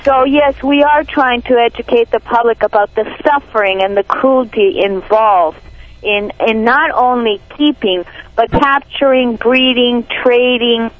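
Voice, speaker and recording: A woman's voice: a little high, a little slow, no echo. Woman, Peruvian Spanish accent, earnest activist, dull lo-fi radio sound. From Peru. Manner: earnest, indignant, frequent restarts. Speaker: animal rights activist guest. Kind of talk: radio interview.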